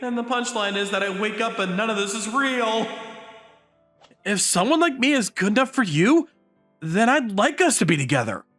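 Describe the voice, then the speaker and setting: A man acting out an earnest, self-effacing high school boy in a romance comic dub. His delivery is lively, swinging readily in pitch between narration and dialogue. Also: moderate pace, soft background music. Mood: bashful wonder. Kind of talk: anime-style manga dub acting and narration.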